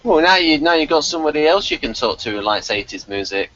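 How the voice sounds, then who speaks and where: A man's voice: a little high, very animated, quick pace, a bit bright, slight background noise. A man hosting a review podcast, chatting casually. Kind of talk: casual chat. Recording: dull, lo-fi voice recording.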